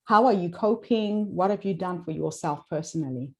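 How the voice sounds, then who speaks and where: A Shona-speaking woman hosts the interview, asking questions in a measured, encouraging way. Her voice sits somewhat low for a woman. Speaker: host. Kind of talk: interview hosting.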